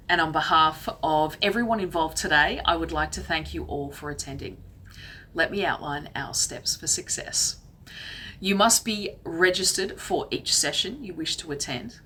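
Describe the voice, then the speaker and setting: A woman opens an online training session, reading formal announcements from a script in a measured way. Her voice sits fairly low but sounds bright and crisp. A faint hum of background noise runs underneath. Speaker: event host.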